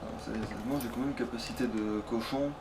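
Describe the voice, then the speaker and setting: A man's voice: medium pitch, steady tone, quick pace. A man doing casual, slangy let's play commentary with a friend, swearing freely as he talks. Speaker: let's player. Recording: background noise and game ambience. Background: video game sound.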